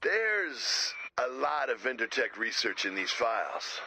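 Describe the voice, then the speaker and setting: A man playing a laid-back but excitable tech sidekick in a video game, husky, casual and inquisitive. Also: mid-range voice, measured pace, exaggerated voice. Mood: curious, casual. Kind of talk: scripted video game dialogue.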